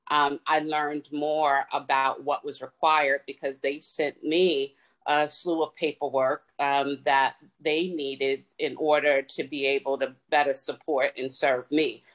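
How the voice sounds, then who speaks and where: A woman's voice: low-pitched, a bit bright, low-quality recording. Woman farmer reflecting on a video call. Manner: reflective, explanatory, measured. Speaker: farmer panelist. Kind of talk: webinar panel answer. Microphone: video call webcam.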